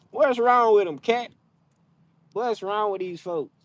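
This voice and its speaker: A young man with chats live with his viewers and teases them with loose, animated trash talk. His voice sits a little higher than most men's. The sound is close and dry, with no room echo. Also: takes it slowly. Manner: playful trash talk, animated, drawling slang. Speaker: livestream host. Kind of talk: casual live chat with viewers. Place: outdoors, frogs nearby.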